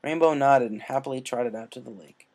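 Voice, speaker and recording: A man's voice: medium pitch, fairly quick, darkish tone. A man reading a scripted story aloud as its narrator. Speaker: story reader. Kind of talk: scripted fan fiction narration.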